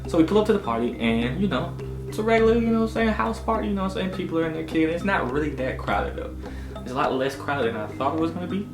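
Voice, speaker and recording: A man in his twenties casually telling a personal story in an animated, slangy way, often dropping in little check-in phrases. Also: on the high side, fast. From Augusta, United States. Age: 20s. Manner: animated, slangy, punctuated with "you know what I'm saying". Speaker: storytelling vlogger. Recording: roomy echo with music behind. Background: background music.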